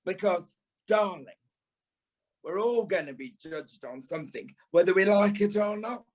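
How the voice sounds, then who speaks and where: An actor playing a camp, sharp-tongued British dance teacher and former stage dancer in an audio play, theatrical and sarcastic. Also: male voice, high voice, expressive, measured pace. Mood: encouraging, wry. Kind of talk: audio play monologue and dialogue.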